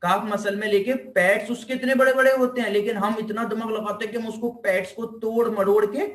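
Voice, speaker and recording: High-voiced man, Indian accent, informal teacher, emphatic repetition, English technical terms, recorded at a distance from the mic. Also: fairly even intonation, brisk, fairly bright tone. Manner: emphatic, repeats key phrases, asks rhetorical questions. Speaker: physiotherapy lecturer. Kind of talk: informal explanatory lecture. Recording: echoey room, distant microphone.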